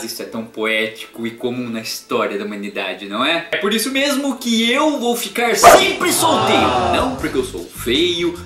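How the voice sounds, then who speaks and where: A man hosting a comedic art history lesson in a theatrical way, jumping between loud sarcastic outbursts and hushed musing. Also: medium pitch, roomy sound. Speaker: art history channel host. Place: in his room.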